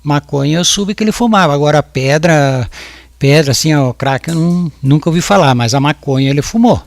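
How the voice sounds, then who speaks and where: A man in his fifties testifies as a witness in court. His voice sits a little high for a man. He talks hesitantly and often restarts or repeats words. The sound is very dry and close. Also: a little fast, creaky, no echo. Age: 50s. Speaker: witness at a jury trial.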